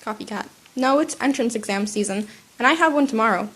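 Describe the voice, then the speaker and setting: A woman's voice: fairly high pitch, fairly quick, a bit bright, slightly rough. A woman reading the lines of a smug, snarky teenage boy.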